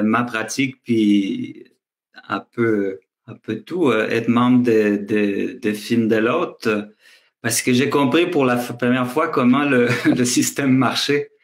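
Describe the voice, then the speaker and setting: A filmmaker speaking on a video call, talking in a hesitant way with many restarts and fillers. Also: male voice, mid-range voice. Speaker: filmmaker guest. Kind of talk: casual panel talk.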